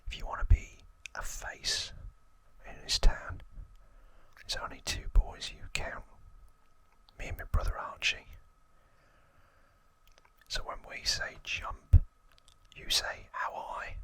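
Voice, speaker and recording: A man's voice: takes it slowly, pauses often, bright, forceful tone. Man putting on a whispering, menacing Cockney gangster. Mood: bossy. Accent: put-on Cockney. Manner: threatening, slangy, self-important. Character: menacing Cockney London gangster twin, boastful and nostalgic. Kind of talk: whispered in-character reading of a game manual.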